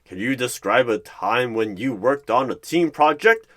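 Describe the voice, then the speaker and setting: A man's voice: medium pitch, expressive, measured pace, fairly bright tone, pitched above the performer's own voice. A man playing an earnest, awkward recruiter who asks formal, emphatic interview questions in an ASMR roleplay.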